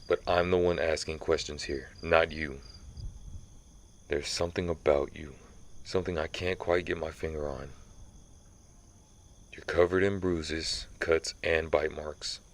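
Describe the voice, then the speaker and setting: A man playing a centuries-old vampire who hunts vampires, stern, commanding and menacing, in a scripted ASMR audio roleplay. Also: very deep voice. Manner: slow, menacing, interrogating.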